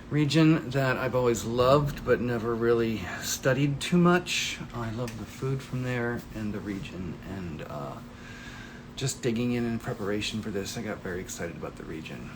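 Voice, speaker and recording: A man's voice: on the low side, expressive, moderate pace, background noise. A man hosting a casual interview over a video call, chatty and asking open questions. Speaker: host, interviewer.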